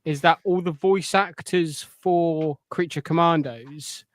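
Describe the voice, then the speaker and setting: A man with a smooth, clear voice, pitched a bit high. He chats casually as a podcast co-host, recorded dry and close. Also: fairly even intonation, slow, dry recording. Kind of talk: casual pop culture podcast chat.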